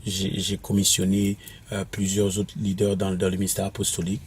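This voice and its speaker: A minister from Quebec, whose first language is English, answers interview questions in a halting way, with many restarts and fillers. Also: male voice, low voice, completely flat delivery, slightly rough. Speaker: apostolic minister and author.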